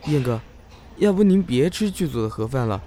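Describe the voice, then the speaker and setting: A man's voice: medium pitch, sing-song, a little fast, mellow, a little hiss or hum, natural voice. A man playing an eager, caring young assistant in an audio drama, solicitous and deferential. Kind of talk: Chinese audio drama acting.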